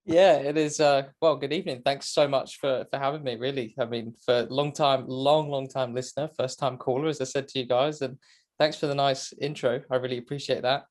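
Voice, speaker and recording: A man's voice: medium pitch, fairly even intonation, brisk. A British man in his twenties chatting casually as an interview guest, talkative and self-deprecating. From Great Britain. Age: 20s. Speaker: guest, motorcycle racing commentator. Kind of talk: casual chat.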